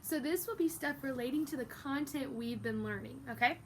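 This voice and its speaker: High-voiced woman teaching through a read-aloud. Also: brisk, a little hiss or hum. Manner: animated, exaggerated, prompting listeners with questions and praise. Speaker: teacher reading a picture book aloud to young pupils. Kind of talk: interactive read-aloud lesson for children.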